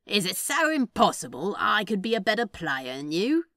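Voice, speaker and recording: Woman voicing a sly, sardonic male demon, lively. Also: mid-range voice, moderate pace, dry recording, clean studio sound. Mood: smug, mock-offended. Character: teasing, sardonic male demon playing a board game. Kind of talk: fan fiction dialogue reading.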